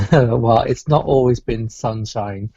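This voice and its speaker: A man speaking as a guest in a casual interview, reflective and quick to laugh, heard over a dull remote call. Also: mid-range voice, creaky, mellow, no echo. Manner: reflective, laughs often, restarts phrases. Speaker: cruise entertainment director, guest.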